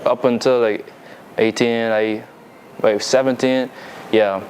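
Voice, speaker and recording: A young man from the Bahamas with a Bahamian accent, talking casually as a music artist being interviewed, relaxed and full of fillers and restarts. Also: mid-range voice, slow, slight background noise. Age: young adult. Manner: laid-back, loose, lots of fillers and restarts. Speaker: musician guest.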